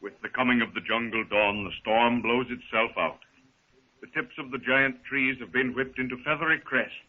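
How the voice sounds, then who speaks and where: A man tells the story as a formal 1930s radio narrator. His medium-pitched voice is a little clouded and husky. The recording is old and very narrow. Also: normal speed.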